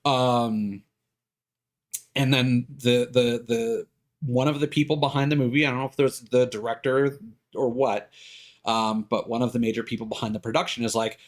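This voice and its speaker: A man streaming live, talking casually and giving his opinions in a halting way, circling back over his words. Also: mid-range voice, steady tone. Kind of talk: casual opinionated rambling on a livestream.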